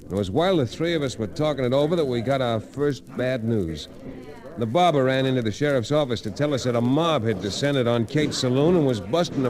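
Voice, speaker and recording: A man's voice: mid-range voice, natural voice. An actor with an American accent playing a tough, gallant frontier lawyer who tells his story in folksy style in an old radio western. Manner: folksy, colourful turns of phrase. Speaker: narrator and lead. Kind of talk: old-time radio western narration and acting.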